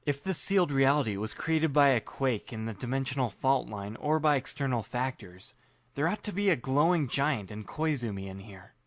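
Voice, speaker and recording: A man's voice: mid-range voice, animated, moderate pace, no echo. A young man reading first-person audiobook narration in a dry, wry, understated manner. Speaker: narrator and lead reader.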